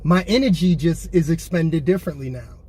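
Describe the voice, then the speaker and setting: Mellow-voiced man hosting an interview. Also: a little high, a little fast, slightly rough. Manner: affirming, conversational. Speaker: interview host. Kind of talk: candid interview. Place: inside a car.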